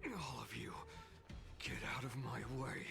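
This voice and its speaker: An actor playing a grim wounded soldier in a video game, weak and gasping in a breathy rasp. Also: male voice, mid-range voice, exaggerated voice.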